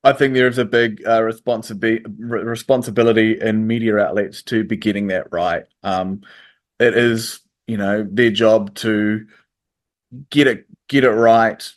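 A man, answering interview questions in a hesitant way with many pauses and restarts. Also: lowish voice, fairly even intonation. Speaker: interview guest. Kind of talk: radio interview.

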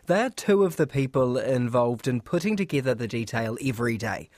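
A man's voice: medium pitch, expressive, normal speed, darkish tone, dry recording, clean studio sound. A man narrating and interviewing as a podcast host.

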